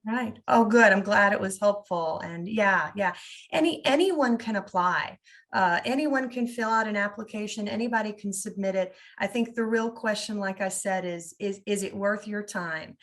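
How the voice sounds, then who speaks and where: A woman's voice: medium pitch, moderate pace, a bit bright. A woman in the middle of her range leads an online webinar and takes questions. Her manner is friendly and unscripted, with frequent ums and self-corrections as she thinks aloud. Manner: friendly, many fillers and restarts. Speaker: scholarship director hosting a webinar. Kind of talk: informal webinar presentation and Q&A.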